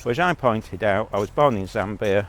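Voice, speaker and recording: A man's voice: lowish voice, normal speed. A man giving a blunt, rambling monologue as a vlogger, talking while out on a walk.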